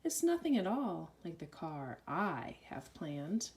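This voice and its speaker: A woman reading a rhyming children's story aloud with an expressive, sing-song delivery. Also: on the low side, slow pace.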